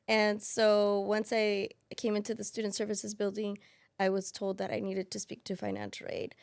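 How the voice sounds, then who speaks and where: A woman's voice: mid-range voice, moderate pace, gravelly, no room sound. A woman in her thirties whose first language is Spanish, talking as a financial aid specialist in a casual interview, thoughtful and full of restarts. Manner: thoughtful, with many fillers and restarts.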